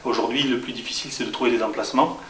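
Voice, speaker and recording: A man's voice: mid-range voice, fairly even intonation, rapid-fire, a bit bright, recorded from a distance. A man from southwest France answering interview questions as a franchise shop owner. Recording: echoey room, off-mic, faint hiss.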